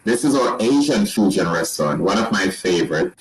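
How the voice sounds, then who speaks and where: A man with a Jamaican accent, walking listeners through resort rooms and restaurants in a relaxed sales pitch with frequent restarts. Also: brisk, poor audio. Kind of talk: presenting a slideshow tour.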